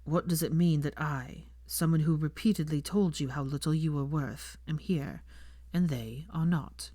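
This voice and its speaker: A young wizard man acted by a woman narrator speaks in a low drawl. He is blunt and probing, concerned yet faintly peeved. The storybook dialogue sounds dry and close. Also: normal speed, warm tone, no echo. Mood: cold, taunting.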